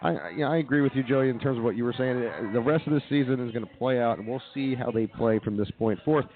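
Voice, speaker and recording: Casting: male lead host, brisk casual sports chat, average pitch, lo-fi live broadcast. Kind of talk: casual sports talk show hosting. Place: brewery, live remote broadcast. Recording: tinny narrowband live bar broadcast.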